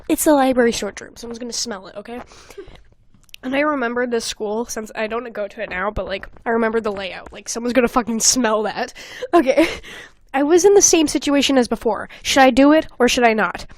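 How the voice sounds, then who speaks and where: A woman's voice: fairly high pitch, a little fast. A young adult woman hosting a podcast, casually reading old fan fiction aloud with giggly, sweary comic asides. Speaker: podcast host.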